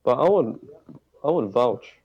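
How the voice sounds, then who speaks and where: A man answering as a guest on a roleplay talk show, speaking haltingly and searching for words. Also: mid-range voice, lively intonation, a little mellow, husky. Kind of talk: in-character roleplay interview. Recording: muffled lo-fi mic.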